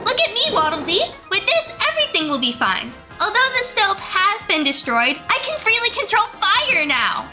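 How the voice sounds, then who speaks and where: A woman's voice: very high-pitched, very animated, normal speed, hardly any pauses, bright, forceful tone, faint music behind, full character voice. A woman voicing a cheerful, fearless little round hero creature in a fan radio play, bubbly, naive and eager. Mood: proud, eager.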